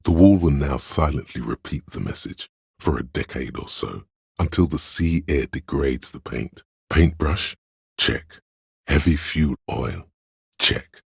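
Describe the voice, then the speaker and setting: A man's voice: animated, measured pace, no echo, clean studio sound, full character voice. A man voicing a grandiose, rapturous inner voice of artistic imagination in a video game, with theatrical, savouring delivery of poetic lines. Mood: wry, dramatic. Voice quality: very deep, gravelly, husky. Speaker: game voice actor. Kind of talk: dramatic literary game narration.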